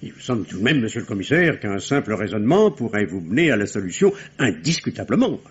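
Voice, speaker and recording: An actor playing a nervous, combative doctor defending himself as a murder suspect in a 1950s-style French radio mystery, insistent and husky. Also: male voice, lowish voice, fast, exaggerated voice. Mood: reasoning. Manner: animated, argumentative, insistent. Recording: dull, muffled vintage-style radio sound.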